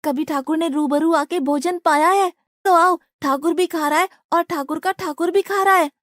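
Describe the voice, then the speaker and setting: A woman's voice: very high-pitched, steady tone, moderate pace, fairly bright tone, dry recording, studio quality. A woman voicing a naive, blunt young male devotee in an acted Hindi devotional story. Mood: earnest, excited.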